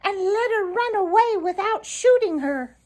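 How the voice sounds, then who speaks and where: A tiny storybook chickadee, performed by a woman, extremely high and squeaky. He chirps in a singsong, birdlike way, earnest and honest. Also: animated, a little slow, darkish tone. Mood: chirpy, matter-of-fact.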